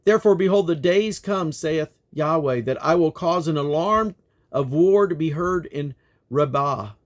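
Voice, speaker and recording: A man reading scripture aloud in a solemn, declamatory manner, with measured phrasing. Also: a little high. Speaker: Bible reader. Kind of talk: reading scripture aloud, King James text.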